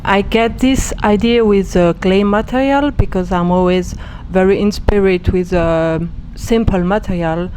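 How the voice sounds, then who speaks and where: A woman from Switzerland, an artist speaking English haltingly, searching for her words in an interview. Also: medium pitch, a little mellow, slight background noise. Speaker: artist and director. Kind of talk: interview answers.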